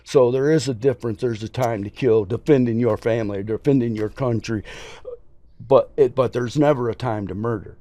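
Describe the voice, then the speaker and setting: A man's voice: medium pitch, moderate pace, dark tone, no room sound. A man, a preacher talking earnestly and emphatically as a podcast guest. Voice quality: scratchy voice. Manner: earnest, emphatic, folksy storytelling. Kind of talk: casual interview talk.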